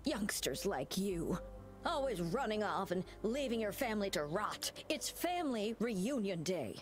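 A woman's voice: medium pitch, very animated, a little slow, soft background music. An actress voicing a bossy, scolding older woman in a video game, with folksy speech.

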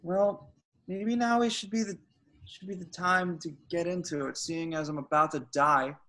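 A man reading the part of a hapless, earnest young slacker hero at an amateur table read, halting and excitable. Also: sits high. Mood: resigned. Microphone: video call.

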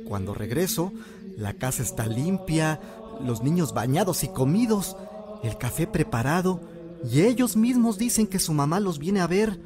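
An actor playing a humble, confused, grieving husband from a village in an audio drama. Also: male voice, on the high side, expressive, normal speed, music in the background, lightly acted. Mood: troubled. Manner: humble, deferential, troubled.

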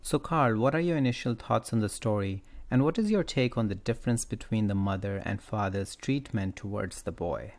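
Male podcast host, low and gravelly, moving between storytelling and casual discussion. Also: moderate pace, hardly any pauses, darkish tone.

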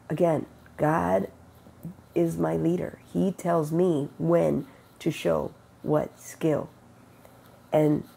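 Slow, gravelly middle-aged woman with a cold. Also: fairly low pitch, warm tone. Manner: earnest, unhurried, quotes God in conversation. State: has a cold, sniffling and rough-voiced. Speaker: faith vlogger. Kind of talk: casual spiritual testimony. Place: bedroom with bright window, indoors. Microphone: wired earbud mic, webcam close-up.

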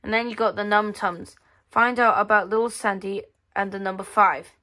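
Bright-voiced casual female magazine commentator. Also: mid-range voice, steady tone, moderate pace. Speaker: host of a magazine flip-through video. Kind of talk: casual commentary, reading page text aloud. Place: indoors at a tabletop.

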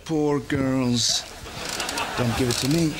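An actor playing a naive young rich American man, speaking halting German with an American accent and chuckling. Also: mid-range voice, background noise, natural voice. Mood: goofy. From United States. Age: young adult. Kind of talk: sitcom acting.

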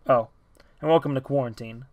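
A man voicing a calm, weary man who insists on following procedure. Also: mid-range voice, a little fast, dry recording, narrow, tinny audio, close to an everyday voice.

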